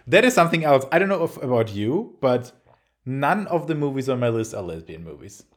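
A man's voice: mid-range voice, very animated, moderate pace. A man co-hosting a podcast, rambling casually about films with lots of fillers and teasing remarks. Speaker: podcast co-host.

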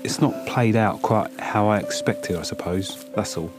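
A man playing a brooding, reserved magpie in an audio drama, halting and subdued. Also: fairly low pitch, music in the background, lightly acted. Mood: subdued, guarded. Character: brooding, reserved male magpie, awkward and guarded.

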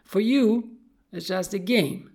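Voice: mid-range voice, slow pace, darkish tone, voice pushed up. A storyteller voicing a touchy, chatty little female pet goldfish in an emphatic, dramatic manner. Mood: accusing.

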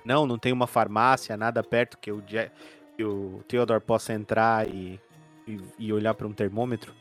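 A man's voice: lowish voice, steady tone, moderate pace, a bit bright. Male tabletop player, playful descriptive improvised narration over a music bed. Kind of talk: improvised narration of a roleplay game.

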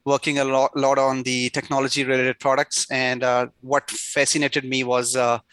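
A man, a startup CEO, answering interview questions haltingly with many pauses and "uh" fillers. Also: medium pitch, level tone, fairly bright tone, clear, resonant tone, dry recording.

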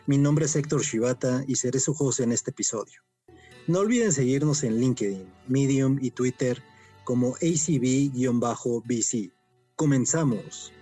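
A man's voice: medium pitch, dark tone, husky. A man hosting a podcast, reading a scripted intro and then asking friendly questions with small stumbles. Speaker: podcast host.

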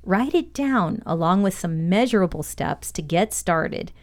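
A woman presenting a scripted motivational podcast lesson, reading aloud in a measured, deliberate, instructional way. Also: lowish voice, animated, darkish tone.

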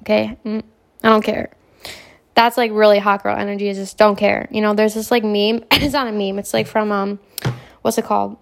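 A young woman hosting a casual self-help podcast, hoarse from a cold, talking in a brash, self-assured way and swearing freely. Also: mid-range voice, level tone, moderate pace. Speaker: podcast host.

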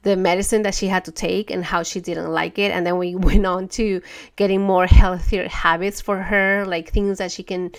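Podcast lady w a cold, stuffy and kinda low, lots of fry, earnest gentle rambling. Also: flat delivery, normal speed. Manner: earnest, gentle, restarts often. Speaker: podcast host. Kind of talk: casual monologue.

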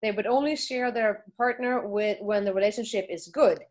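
A woman's voice: medium pitch, moderate pace, a little mellow. A woman in a casual podcast discussion, opinionated and questioning as she thinks aloud.

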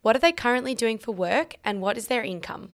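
A woman's voice: a little high, lively intonation, a little fast. A woman reading a scripted podcast introduction and questions as host. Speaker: podcast host and mortgage broker. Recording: music behind the voice. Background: clear background music.

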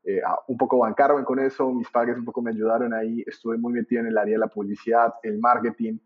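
A man in his thirties with a Colombian accent tells his story as a podcast guest, relaxed and hesitant, often restarting his sentences. His voice is breathy and a little rough. The sound is muffled and lo-fi, like a remote call. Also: medium pitch, fast talker. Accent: Colombian Spanish. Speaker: podcast guest, trader and educator. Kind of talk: conversational interview answers.

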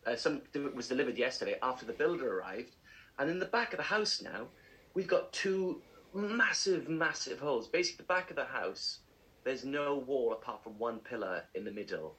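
A man co-hosting a casual podcast, chatty and animated, laughing often as he tells stories. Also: mid-range voice, moderate pace, poor audio. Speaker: podcast co-host. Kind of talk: casual chat.

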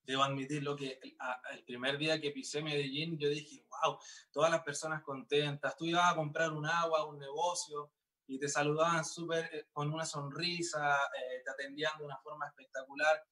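Young guy, singer, chatty, bright voice, kinda high. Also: flat delivery, moderate pace. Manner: upbeat, many fillers and restarts. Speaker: singer interviewed as guest. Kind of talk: casual interview chat.

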